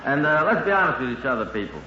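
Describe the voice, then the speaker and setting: Male Brooklyn-accented comedian, fairly high voice, rambling colloquial storytelling, 1950s radio monologue, echoey sound. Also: very animated, very fast, bright, forceful tone. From Brooklyn, New York, USA. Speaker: stand-up comedian. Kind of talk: 1950s comic monologue to a live audience. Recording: roomy, muffled, noisy old radio recording.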